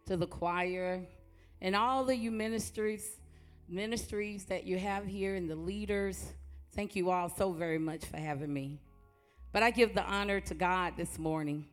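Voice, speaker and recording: A woman with a strong, loud voice, preaching and praying in a church sanctuary with a fervent, rhythmic cadence. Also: fairly low pitch, a little slow, soft background music. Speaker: guest preacher. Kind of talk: church sermon and prayer. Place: church sanctuary before a congregation.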